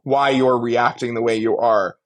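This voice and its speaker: A man with a middle-pitched voice discusses media as a podcast co-host. He is articulate and analytical, stressing his points as he builds them out at length in conversational language. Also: steady tone, normal speed. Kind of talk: casual media discussion and critique.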